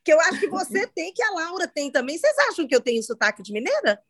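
A woman's voice: very high-pitched, big pitch swings, fast, heavy background noise. A middle-aged woman from Minas Gerais, Brazil, with a faint Minas Gerais accent, hosting a podcast in casual chat, talking chattily with frequent restarts. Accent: faint Minas Gerais Brazilian Portuguese. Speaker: podcast host.

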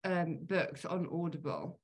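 A woman in her thirties with a slightly low voice, co-hosting a relaxed podcast. She reads listener mail aloud and chats with plenty of ums and likes. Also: slow pace, husky. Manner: chatty, with frequent ums and likes. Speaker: podcast co-host. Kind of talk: casual chat, reading listener mail.

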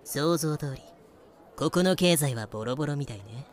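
A man's voice: on the high side, animated, normal speed, close to an everyday voice. A man voicing a bold, easygoing, scheming female pirate captain with breezy, self-assured feminine phrasing in a fan audio drama.

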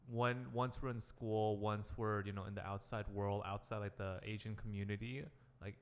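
A young man talking on a casual podcast, halting and heavy with "like" fillers. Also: lowish voice, level tone, clear, resonant tone, in a large hall.

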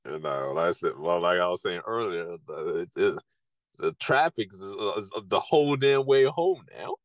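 A man with a somewhat low voice talks on a casual podcast. He speaks haltingly and often repeats a word before he finishes his thought. The sound is dry and close. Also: animated, no room sound. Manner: halting, repeats words while thinking. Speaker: podcast co-host. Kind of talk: casual chat.

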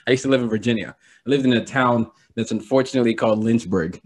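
A man's voice: mid-range voice, quick pace, a crowd in the background. A man from Nigeria, a podcast guest telling stories in a playful, joking way and laughing often. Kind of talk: casual storytelling interview.

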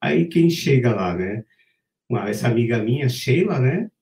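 A man's voice: mid-range voice, expressive, normal speed, gravelly, darkish tone, recorded from a distance. Brazilian man telling a rambling story. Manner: rambling, frequent "né?" tags. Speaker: interview guest, singer. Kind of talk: casual storytelling. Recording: roomy, from off-mic.

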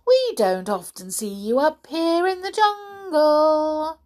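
Woman as a high singsong storybook bird. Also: sing-song, slow, a little mellow, full character voice. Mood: cheerful. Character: friendly roly-poly singsong bird.

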